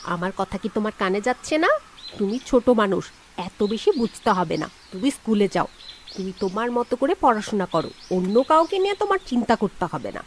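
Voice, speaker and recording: A woman's voice: medium pitch, sing-song, fairly quick, a little hiss or hum, pitched below the performer's own voice, natural voice. A woman voicing a cruel village aunt in a children's cartoon, scolding sharply and sarcastically. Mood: stern, dismissive. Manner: harsh, sarcastic, shouting scolding.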